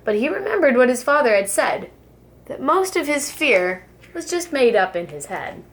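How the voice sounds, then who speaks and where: A woman reading a rhyming children's story aloud like a preschool teacher, with expressive, deliberate phrasing. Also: high-pitched.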